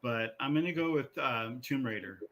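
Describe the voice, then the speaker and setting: A man co-hosting a podcast, chatting casually and working through his points in a halting, rambling way with repeated phrases. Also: mid-range voice, lively intonation, a bit bright. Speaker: podcast co-host. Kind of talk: casual chat about video game movies.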